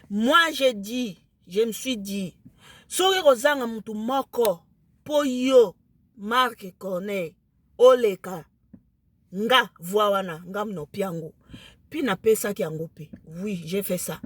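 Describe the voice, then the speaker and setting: A woman with a Lingala accent, a native Lingala speaker, talking casually to camera, emphatic and excited, switching into French. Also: mid-range voice, dry recording. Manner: emphatic, excited, mixes French into her speech.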